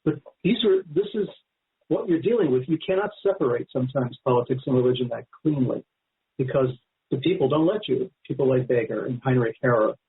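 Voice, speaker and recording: Male interview guest on a thin phone-like line, medium pitch, conversational and emphatic, prone to digressions. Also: brisk. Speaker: guest author. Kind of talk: radio interview, extended explanation. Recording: narrow-band phone-like line.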